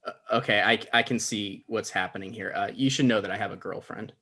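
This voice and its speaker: A man's voice: lowish voice, fairly even intonation, fairly quick, creaky, sharp tone, slightly rough, full character voice. A man playing an awkward, pedantic but well-meaning talk show host, mild and conversational, in a comedy table read.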